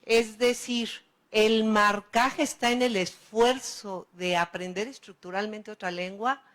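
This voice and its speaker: A woman in the audience, asking a lecturer a question and building her point slowly and thoughtfully. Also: medium pitch, fairly even intonation, a bit bright.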